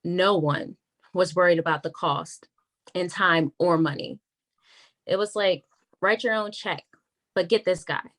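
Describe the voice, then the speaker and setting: A woman playing a wary, frank government attorney in a naturalistic script read, measured and confiding. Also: lowish voice, a bit bright. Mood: troubled, frank.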